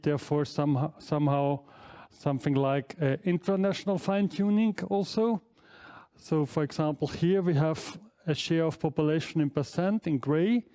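A man's voice: a little high, warm tone. Non-native man lecturing in a hall. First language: not English. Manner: explains slides with fillers and self-corrections. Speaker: professor giving an inaugural lecture. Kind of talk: academic lecture. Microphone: headset mic.

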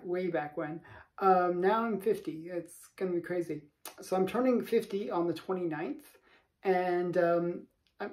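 Mellow chatty man in his late forties. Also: sits high. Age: 40s. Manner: chatty and rambling, laughs between points. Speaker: comic collector channel host. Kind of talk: casual chat to camera. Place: home comic room, indoors. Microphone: camera in front.

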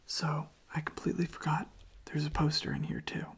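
A young man hosts ASMR in a breathy whisper that stays very even. There is a clear creaky fry in his voice. He takes his time, pausing gently as he goes. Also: a little high. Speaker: ASMR video host. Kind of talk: soft-spoken whispered ASMR.

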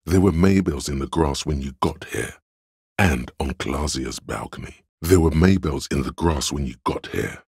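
Inner voice of reason for a detective game: extremely low, raspy, measured, condescending. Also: male voice, a little mellow, no echo, studio quality, exaggerated voice. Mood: intent, pressing. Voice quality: very deep, gravelly, husky. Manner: measured, deliberate, analytical. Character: cold, analytical inner voice of a detective's logic, condescending. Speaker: video game voice actor. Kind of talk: scripted game narration.

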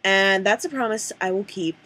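A woman's voice: mid-range voice, normal speed, sharp tone. A young adult woman doing a dramatic comic reading of a letter, emphatic and sarcastically formal, recorded on a webcam at home. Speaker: amateur reader performing a letter. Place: small room at home, indoors. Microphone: computer webcam microphone at desk distance.

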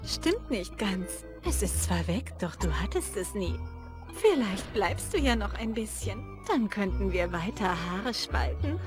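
A sultry casino dealer from a video game, with a medium-pitched voice. She is teasing and openly flirtatious. Music plays clearly behind her. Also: big pitch swings, unhurried. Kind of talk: acted video game cutscene dialogue.

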